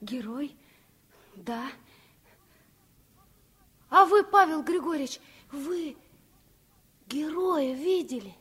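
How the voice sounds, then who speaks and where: An actress playing a curious, impressionable young girl in a radio play, asking questions in an earnest, hushed way. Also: high-pitched, animated, slow pace, long pauses, exaggerated voice. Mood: hushed wonder. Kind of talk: Soviet radio play acting.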